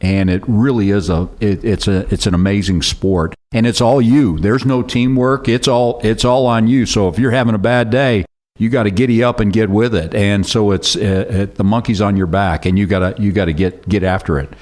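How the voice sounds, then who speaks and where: Deep-voiced man from Chicago. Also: moderate pace, darkish tone. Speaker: youth golf program leader, guest. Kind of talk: radio interview guest. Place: radio studio.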